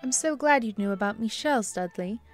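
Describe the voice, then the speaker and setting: An actress playing a kindly, refined bishop's wife, polite and hesitant, in a radio-style drama. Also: female voice, on the high side, lively intonation, a little fast, faint music behind, lightly acted. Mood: wistful.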